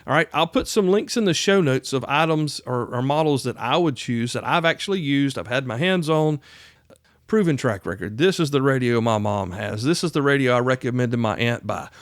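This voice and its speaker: A man's voice: medium pitch, animated, normal speed, no echo, studio quality. A man hosting an instructional podcast in a plain-spoken, folksy, emphatic way, often checking in with the listener. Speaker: podcast host, radio instructor.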